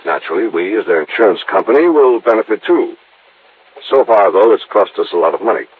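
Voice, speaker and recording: An old, narrow-band radio drama recording features an earnest American insurance official. The man's voice is low and husky, with a businesslike, explanatory delivery. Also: lively intonation, a little fast. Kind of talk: mid-century American radio drama acting.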